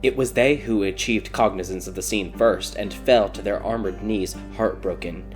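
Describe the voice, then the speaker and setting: A man's voice: lowish voice, normal speed, soft background music. A man reading a scripted fairy tale as a dramatic audiobook narrator.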